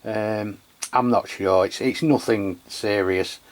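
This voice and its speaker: A man's voice: fairly low pitch, fairly even intonation, slow pace. A man with an English accent chatting casually as a radio reviewer, in a hesitant, rambling, matey way. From England. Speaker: radio review channel host. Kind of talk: casual radio chat and product testing.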